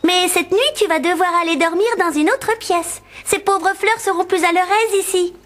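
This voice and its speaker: A woman voicing a sweet, childlike little girl in a French animated cartoon, with expressive delivery. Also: extremely high pitch, moderate pace. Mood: gentle, caring.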